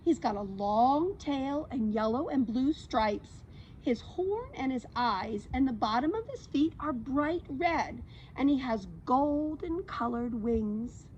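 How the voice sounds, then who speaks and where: A woman voicing a kindly old female cat in a storybook, telling tales in an earnest, unhurried way. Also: high-pitched, animated, darkish tone, dull audio, slight background noise. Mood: fond, earnest.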